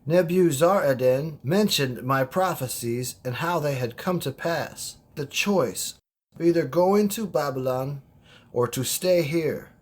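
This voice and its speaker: A man playing a steadfast, solemn old prophet in an audio drama, husky-voiced. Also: a little high, slow pace. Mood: solemn, resolute.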